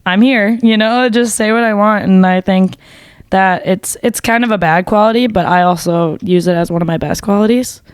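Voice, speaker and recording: A young woman talks in a casual interview, blunt and loud. She swears freely and says "like" all the time, recorded close and dry. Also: mid-range voice, moderate pace, clean tone, no room sound. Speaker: makeup artist and guest.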